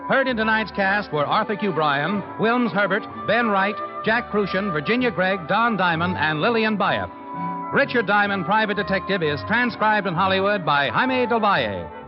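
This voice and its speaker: A high-voiced American man delivers polished, formal 1950s radio announcements with sweeping intonation. The old broadcast recording is dull, with some music behind him. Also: animated, normal speed. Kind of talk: 1950s radio announcing, scripted sponsor reads and credits.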